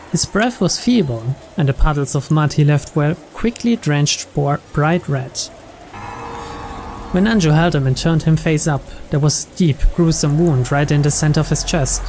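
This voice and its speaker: A man's voice: a little high, moderate pace, darkish tone. A man reading the text of a visual novel aloud as scripted narration. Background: game music.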